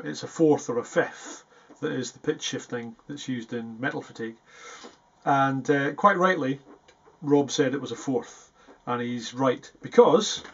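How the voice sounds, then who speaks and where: A man's voice: medium pitch, breathy edge. A man in his forties chatting casually and a little hesitantly to camera as a self-deprecating guitarist, recorded in a small practice room. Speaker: guitarist presenting a video. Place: small foam-treated practice room indoors. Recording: dull, muffled sound.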